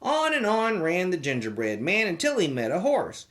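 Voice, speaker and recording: A children's show host reading a storybook aloud in a playful, theatrical, expressive way. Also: mid-range voice, moderate pace.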